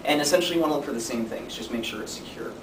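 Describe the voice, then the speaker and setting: A young man giving an informative student speech in a conversational way with deadpan humor. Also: very fast. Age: young adult. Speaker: student speaker. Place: classroom. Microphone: distant camera microphone. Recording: distant mic, echoey room, noisy rumble.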